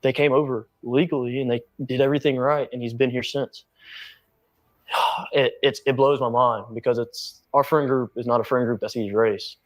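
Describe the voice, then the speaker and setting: A young adult man giving an emotional interview account in an earnest, halting way. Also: medium pitch, steady tone, no room sound.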